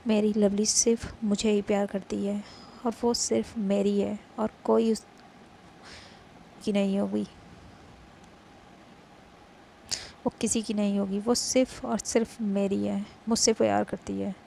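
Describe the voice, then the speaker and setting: A woman's voice: mid-range voice, level tone, measured pace, vocal fry, warm tone, a little hiss or hum. A woman voicing a possessive, insistent young woman.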